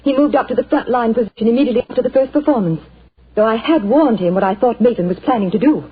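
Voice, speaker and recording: A tremulous general's wife. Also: female voice, on the high side, fast talker, breathy edge. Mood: worried. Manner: tremulous, pleading. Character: distraught upper-class wife of a general. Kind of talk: 1940s radio acting. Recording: lo-fi old radio broadcast.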